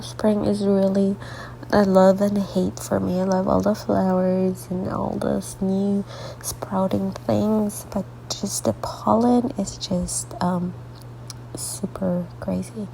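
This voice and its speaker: Gravelly, stuffy-voiced woman artist. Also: mid-range voice, little pitch movement, takes it slowly, a little hiss or hum, rough recording. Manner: soft, rambling, drifts into half-whispered asides. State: congested, stuffy nose; sleepy late at night. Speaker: artist hosting a coloring tutorial. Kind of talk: casual coloring tutorial narration.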